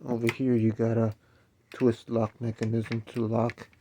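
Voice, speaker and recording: A man's voice: mid-range voice, completely flat delivery, a little slow, warm tone, slight background noise. A man casually walking through an unboxing of a speaker stand, explaining each part as he goes and correcting himself along the way. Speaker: DJ reviewing gear.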